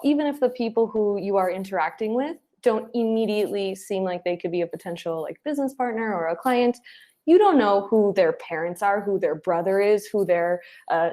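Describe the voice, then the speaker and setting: A woman speaking as an interview guest, giving advice and telling anecdotes in an animated, conversational way. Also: mid-range voice, normal speed. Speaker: interview guest, head of client acquisition.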